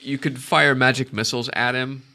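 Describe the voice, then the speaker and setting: A congested man narrating as dungeon master, halting with many fillers. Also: mid-range voice, steady tone, bright, forceful tone. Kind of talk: narrating a tabletop game.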